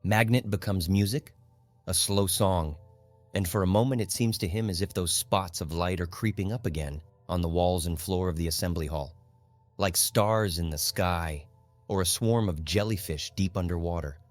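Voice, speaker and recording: A man narrating a literary audiobook in a grave, measured, dramatic manner. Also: low-pitched, dry recording. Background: faint music.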